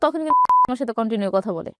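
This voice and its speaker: A woman's voice: a little high, lively intonation, rapid-fire, no echo, clean studio sound. A woman in her twenties with a Bangladeshi accent, telling her life story plainly as an interview guest. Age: 20s.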